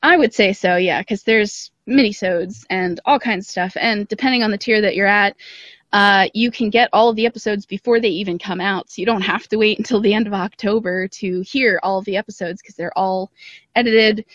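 A woman's voice: mid-range voice, fairly quick, a bit bright, no echo, dull audio. Woman chatting casually, dry close sound. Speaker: guest, audio drama creator. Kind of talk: casual interview chat. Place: calling in remotely to a podcast.

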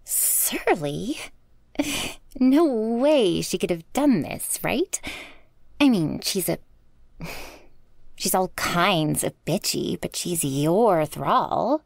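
A woman's voice: fairly low pitch, expressive, drawn-out words, vocal fry, pauses often, a little mellow, no echo, heavily acted. A woman playing a vampire's young servant, anxious and loyal, in a casual, questioning audio roleplay. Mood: doubtful. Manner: hesitant, colloquial, questioning.

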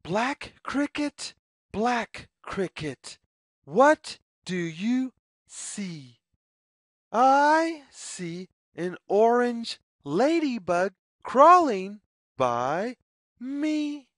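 Lady reading a kids picture book out loud, sing-song, highish voice, really dry close sound. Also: sing-song, dry recording. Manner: deliberate, sing-song phrasing. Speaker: storyteller reading aloud to children. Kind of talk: read-aloud children's picture book, slow sing-song.

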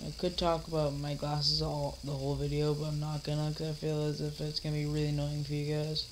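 A man's voice: on the high side, completely flat delivery, a little fast, noisy background. A teenage boy coming down with a cold, rambling casually through a home vlog with lots of fillers and restarts. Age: teens. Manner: mock-scolds an imaginary heckler. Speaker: vlogger. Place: dim living room, seated in a recliner.

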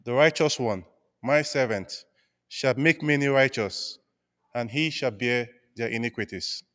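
A man's voice: medium pitch, a little slow. A young man reading scripture steadily at a lectern microphone in a large church. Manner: steady, careful reading aloud. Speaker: lector. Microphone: mic on a stand at the lectern. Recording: long church reverb.

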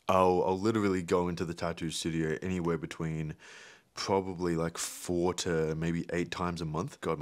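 Young male vlogger, low voice, dry close sound, loose rambling delivery full of ums. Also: dry recording. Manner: rambling, many fillers and restarts. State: hay fever. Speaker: vlogger and videographer. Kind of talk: casual vlog talk.

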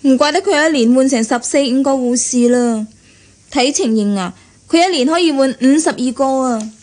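An actress playing a young, modest and earnest private nurse in a radio drama. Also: female voice, high-pitched, normal speed, darkish tone, close to an everyday voice. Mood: grumbling. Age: young adult. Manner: earnest, deferential. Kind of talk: Cantonese radio drama acting.

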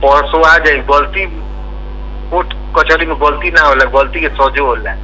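A man's voice: a little high, steady tone, moderate pace, sharp tone. A man with a Bihari accent on an informal official phone call as an office clerk, questioning insistently. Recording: noisy, humming recorded phone call.

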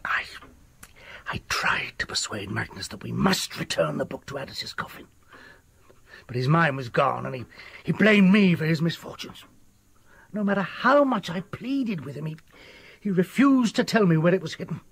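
An actor playing a haunted, desperate older doctor in a radio drama, telling things in a halting, theatrical way with a husky, creaky voice. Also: male voice, a little high, expressive, fairly bright tone, no echo, full character voice. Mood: fearful, haunted.

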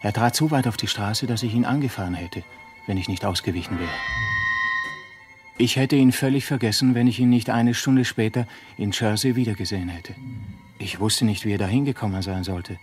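An actor playing an uneasy driver who recounts an eerie encounter in measured words, in a 1950s radio play monologue. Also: male voice, fairly low pitch, soft background music, lightly acted. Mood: unsettled.

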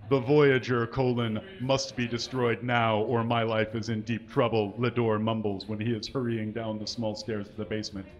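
A man's voice: mid-range voice, level tone, moderate pace, few pauses, muffled recording. A man giving a live comedic dramatic reading into a stage microphone, mock-earnest and exaggerated, spelling out mistakes and punctuation as he goes. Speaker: live comedy show performer reading fan fiction. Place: small indoor stage with curtains, live audience. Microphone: microphone on a stand.

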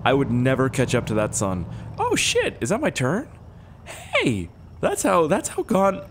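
A young man with a hoarse, strained voice giving casual, profane, self-mocking commentary while playing a game. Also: mid-range voice, huge pitch swings, unhurried, slight background noise. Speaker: gaming YouTuber. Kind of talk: casual let's-play commentary.

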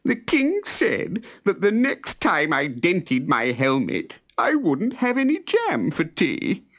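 A storyteller voicing a tearful soldier guard in a children's storybook, speaking high and wailing through sobs. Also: wildly swooping pitch, takes it slowly, fairly bright tone, lo-fi sound, heavily acted. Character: sobbing soldier guard, adult man, tearful.

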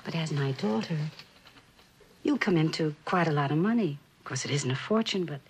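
A woman's voice: low voice, lively intonation, normal speed, slight background noise. An actress playing a genteel, middle-aged mother who clings tenderly and hesitantly to her daughter, in a vintage suspense drama. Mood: wounded, persuasive. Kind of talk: 1960s television suspense drama acting.

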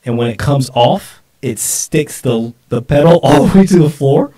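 A man in his forties co-hosts a live stream in loose, casual conversation. His voice is medium-pitched and sits a little back from the desk microphone. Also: lively intonation, normal speed, dark tone, recorded from a distance.

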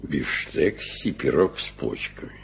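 An older actor playing a fussy old journalist in a radio play, grumbling and muttering in quick exclamations. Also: male voice, rumbling low, lively intonation, creaky, slight background noise, heavily acted. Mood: grumbling, puzzled. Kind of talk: 1980s radio play acting. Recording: dull lo-fi old radio recording.

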